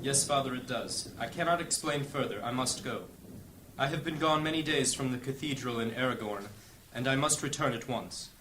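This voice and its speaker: An actor playing a solemn high-ranking church leader in a fantasy audio drama, speaking in a grave, formal, commanding way. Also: male voice, normal speed, close to an everyday voice. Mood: urgent, commanding. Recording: clear background ambience noise.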